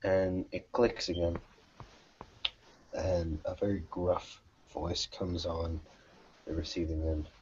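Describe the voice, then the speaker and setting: Deep-voiced, slow-talking man running a game. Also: level tone, a little mellow, dull audio, slight background noise. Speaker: game master. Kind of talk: narrating a tabletop roleplaying game.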